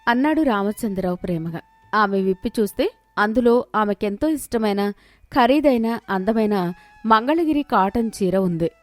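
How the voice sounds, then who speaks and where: A woman's voice: medium pitch, lively intonation, moderate pace, dry recording. A woman narrating a scripted moral story as its storyteller.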